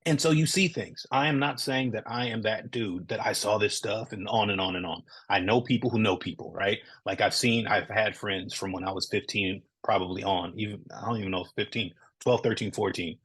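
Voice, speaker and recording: A man's voice: fairly low pitch, brisk, hardly any pauses, a bit bright, husky. A man from Southern California, a comic creator speaking earnestly in a casual podcast interview, often checking in with "right?".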